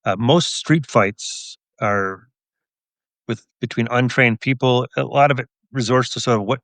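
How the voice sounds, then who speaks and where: A man who works as a police officer and author, answering interview questions in a measured, explanatory, conversational way. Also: medium pitch, fairly bright tone, no room sound.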